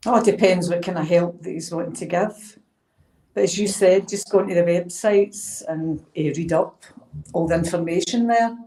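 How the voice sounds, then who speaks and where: A woman's voice: on the low side, moderate pace, dark tone, rough recording. A woman answering casually on a panel in a plain, down-to-earth way.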